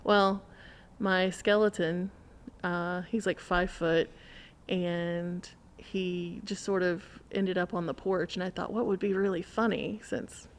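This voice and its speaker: A woman's voice: on the low side, steady tone, slow. A middle-aged American woman chatting casually and telling anecdotes as a podcast co-host. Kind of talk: casual chat, anecdotal storytelling.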